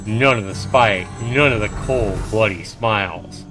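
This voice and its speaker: A man's voice: lowish voice, unhurried. A man livestreaming a game, reading its narration aloud dramatically with casual asides. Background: game music.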